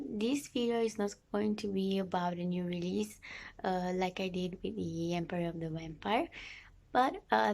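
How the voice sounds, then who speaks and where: A woman's voice: mid-range voice, gravelly, darkish tone. A young woman whose first language is Italian, chatting casually about a book in a reading vlog, with many fillers and restarts. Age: young adult. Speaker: book vlogger.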